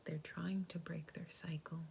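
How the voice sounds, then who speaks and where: A woman whispers a tarot reading, soft and reassuring, her low voice breaking into a gravelly fry now and then. Her tone stays flat and hushed, and a steady hiss of noise sits under the recording. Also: a little slow. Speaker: tarot reader.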